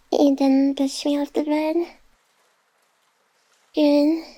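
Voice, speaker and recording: A woman playing a playful, guarded female AI who is secretly tender, in an intimate ASMR roleplay with teasing and denials. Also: sits high. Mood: drowsy, teasing. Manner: tsundere, teasing, flustered denials over soft confessions.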